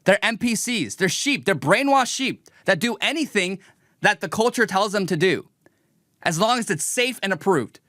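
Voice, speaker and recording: A man's voice: high-pitched, expressive, normal speed, a bit bright, no echo, studio quality. A young man streaming a mocking, combative political rant, talking emphatically as a show host. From raised in the United States. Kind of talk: livestream reaction rant.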